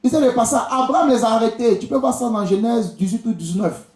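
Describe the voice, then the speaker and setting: A man's voice: very high-pitched, lively intonation, quick pace, a little mellow. A man with an Ivorian accent preaching a charismatic church sermon, exhorting the congregation directly and repeating key phrases. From Côte d'Ivoire. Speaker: visiting preacher and prophet. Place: indoor church during a live service. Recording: echoey church hall sound.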